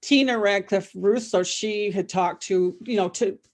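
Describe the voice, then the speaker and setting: A woman's voice: medium pitch, a little slow. A woman interview guest, an author and psychologist, talking casually and circling back mid-sentence.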